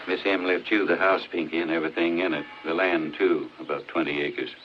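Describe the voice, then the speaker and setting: A small-town doctor, acted by a man in a 1940s film drama. He has a very deep voice and speaks in a measured, plain way. The soundtrack is muffled with faint hiss. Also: steady tone, natural voice. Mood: formal reading. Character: matter-of-fact small-town Southern male doctor.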